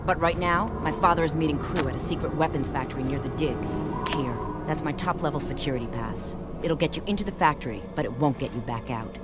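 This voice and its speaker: A woman's voice: deep voice, quick pace, over loud music, natural voice. A woman playing a stern, suspicious military commander in a video game, clipped and commanding. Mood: guarded.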